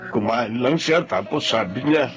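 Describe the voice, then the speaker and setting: A man's voice: medium pitch, lively intonation, a little slow, over music, close to an everyday voice. Actor playing a shaken middle-aged Filipino father. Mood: worried, bewildered. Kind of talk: Filipino melodrama acting.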